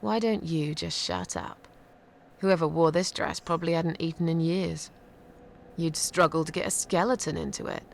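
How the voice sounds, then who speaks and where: An actress plays an eerie, sardonic woman telling a grim legend. Her voice is lowish and full of creak, moving slowly and deliberately, often sinking toward a whisper in dramatic horror style. There is faint noise behind her. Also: steady tone. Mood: snappish.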